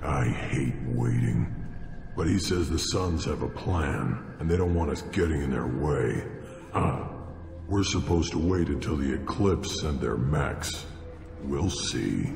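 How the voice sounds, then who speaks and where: A brutish alien gang boss from a video game, with an extremely low, gravelly growl. He is curt, menacing and boastful, heard in a long reverberant hall with music behind. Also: lively intonation, a little slow, exaggerated voice. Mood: impatient. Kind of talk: video game character acting.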